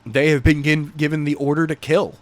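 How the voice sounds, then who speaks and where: A man with a slightly high-pitched voice talks casually as a podcast co-host. He discusses a story in an animated, conversational way, like someone retelling it to a friend. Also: a little fast.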